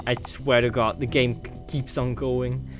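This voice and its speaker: Visual novel streamer, mid-pitched, casual and sweary, reasoning aloud, music underneath. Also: level tone, measured pace. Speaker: video game streamer reading a visual novel. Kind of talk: let's play commentary, reading visual novel dialogue aloud.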